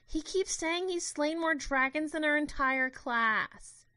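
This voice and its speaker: A woman's voice: high voice, takes it slowly, bright, forceful tone, no room sound, voice pushed up, heavily acted. A woman voicing a whiny, pleading teenage girl in an audio drama. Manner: whining.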